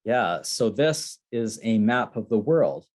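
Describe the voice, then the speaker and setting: A man from Canada teaching beginners in slow, simple English, repeating his phrases. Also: fairly low pitch, very animated, darkish tone.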